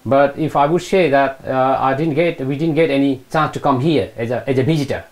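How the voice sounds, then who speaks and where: Man, middle-aged, Nepali accent, medium pitch, hesitant, repeats phrases, personal recollection, interview. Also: fairly quick. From eastern Nepal. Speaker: interviewee, retired British Army Gurkha. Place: small room indoors, seated on a sofa. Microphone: lapel mic.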